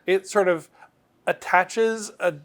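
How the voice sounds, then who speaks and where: A middle-aged man with a somewhat high voice talks as a game designer being interviewed. He explains things in a casual, enthusiastic way. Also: steady tone, takes it slowly. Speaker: game designer interviewed about his work.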